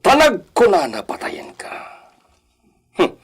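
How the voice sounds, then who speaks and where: A man's voice: high voice, wildly swooping pitch, breathy edge, full character voice. A man playing a menacing, cruel armed captor in a radio drama, taunting and threatening. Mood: taunting menace. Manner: taunting, threatening, drawn-out delivery.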